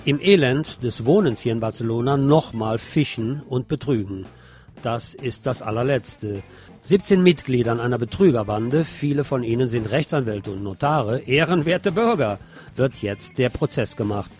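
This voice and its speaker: A man's voice: mid-range voice, expressive, normal speed, darkish tone, faint music behind. A man presenting radio news from a script, with the occasional wry aside.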